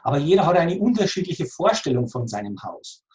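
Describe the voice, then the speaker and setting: A man in his forties with a Lower Bavarian accent, explaining his work conversationally and earnestly as an interview guest, repeating phrases for emphasis. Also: sing-song, fairly quick, darkish tone. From Lower Bavaria, Germany. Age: 40s.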